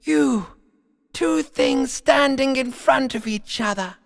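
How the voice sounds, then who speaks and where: A man voicing a sinister, sneering primal brain in a video game, with a raspy, hissing half-whisper and drawn-out theatrical delivery. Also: very high voice, fairly bright tone, dry recording, studio quality, big-room sound, full character voice. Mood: morbid, taunting. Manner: slow, theatrical, drawn-out, swelling from whispers to cries. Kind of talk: video game voice acting, menacing monologue.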